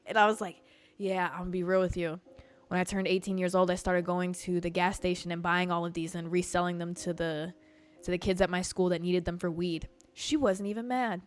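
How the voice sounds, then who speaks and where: A woman's voice: lowish voice, fairly even intonation, fairly quick, clean tone. Chatty young woman telling stories, unfiltered. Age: 20s. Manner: candid, loose and profane, heavy use of "like". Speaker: podcast host. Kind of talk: casual storytelling monologue.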